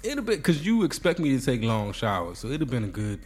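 A man's voice: medium pitch, very animated, fast, husky, soft background music. A man with a cold and a nasal voice hosting a casual podcast, joking and bantering. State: sick, nasal. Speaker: podcast host. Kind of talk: casual chat.

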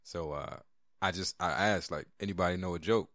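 A man's voice: low-pitched, fairly quick, a bit bright, no echo, studio quality. A man hosting a casual podcast, laid-back and rambling, often restarting his sentences. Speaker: podcast host. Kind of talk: casual chat.

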